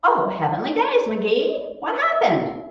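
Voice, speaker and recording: A woman playing a sensible, exasperated wife with a brisk, chiding manner in a 1940s-style radio comedy. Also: sits high, huge pitch swings, exaggerated voice. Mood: prodding. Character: sensible, exasperated wife who prods her husband. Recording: echoey hall, distant dull mic.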